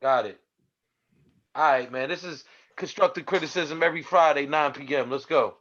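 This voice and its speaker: A man's voice: fairly high pitch, normal speed, fairly bright tone, highs cut off. A man hosting a casual show in a laid-back way, lining up songs and hyping the show. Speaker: host.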